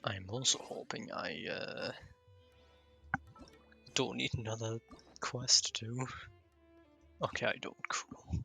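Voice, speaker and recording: Very bright, creaky male game streamer. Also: medium pitch, fairly even intonation, slow, pauses often, soft background music. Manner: offhand, muttering to himself. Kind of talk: casual live gameplay commentary.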